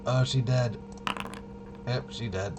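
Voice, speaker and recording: A man hosting a livestream with casual, unscripted commentary, talking in a halting, rambling way and often trailing off mid-sentence. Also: medium pitch. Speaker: livestream host. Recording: noisy, with clear background hum.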